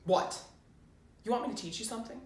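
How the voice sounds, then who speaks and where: A woman performing a comedic monologue as a jaded, scornful rocker posing as a substitute teacher, loud and emphatic. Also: fairly high pitch, brisk, pitched above the performer's own voice, lightly acted. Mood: scornful, exasperated. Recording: roomy echo, faint background noise.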